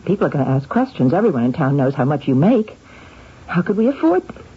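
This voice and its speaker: An actress playing an effusive, touchy, anxious wife in an old-time radio drama, earnest and theatrical. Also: female voice, low voice, sing-song, fast, breathy edge, exaggerated voice. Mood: anxious, worried. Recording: muffled, noisy old radio recording.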